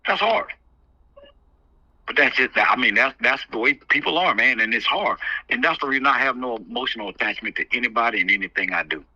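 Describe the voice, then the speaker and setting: Bright, forceful man on the phone. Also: medium pitch, lively intonation, a little fast, breathy edge, no room sound. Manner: emphatic, repeats phrases for rhetorical effect. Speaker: community activist giving advice on a call. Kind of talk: candid phone conversation, advising. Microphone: telephone. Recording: muffled, band-limited phone call.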